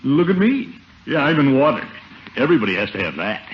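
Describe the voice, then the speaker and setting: A blustery, fatherly middle-aged uncle acted by a man with an animated, self-important delivery. It is 1950s radio sitcom acting, dulled by an old broadcast recording. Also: mid-range voice, fairly quick, muffled recording, a little hiss or hum, lightly acted. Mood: fatherly advising. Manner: pompous, avuncular, chuckling.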